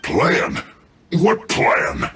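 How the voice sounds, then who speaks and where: A man's voice: wildly swooping pitch, drawn-out words, bright, forceful tone, exaggerated voice. An actor voicing a beaten, protesting male enemy soldier in a video game. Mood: pleading. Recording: roomy echo, faint rumbling noise.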